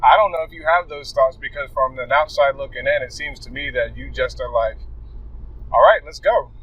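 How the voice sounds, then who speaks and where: A man's voice: mid-range voice, normal speed, fairly bright tone, slightly rough, highs cut off. A man hosting a casual interview, friendly and encouraging, talking in loose run-on phrases. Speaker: host. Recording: voice picked up from a distance from the mic.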